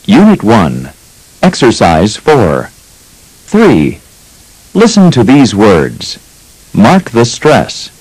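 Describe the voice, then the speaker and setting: A man reading instructions as narrator of a language course, slow and deliberate. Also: fairly low pitch, huge pitch swings, darkish tone, slightly rough, rough recording.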